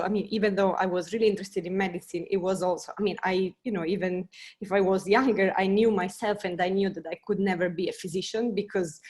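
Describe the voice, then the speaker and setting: A woman's voice: mid-range voice, darkish tone. Italian-accented woman, earnest and searching. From Italy. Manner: earnest, searching, many fillers and restarts. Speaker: scientist panelist. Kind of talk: career talk on a panel.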